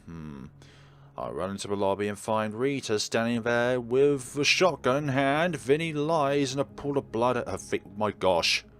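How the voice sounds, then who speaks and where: A man's voice: mid-range voice, lively intonation, moderate pace. A man narrating and commenting on a game as he plays it. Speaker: game streamer. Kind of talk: let's play commentary and read-aloud narration.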